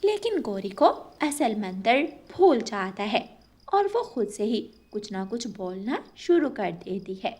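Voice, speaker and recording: A woman's voice: mid-range voice, sing-song, a little slow, darkish tone, husky. A woman narrating a scripted children's cartoon story as its storyteller.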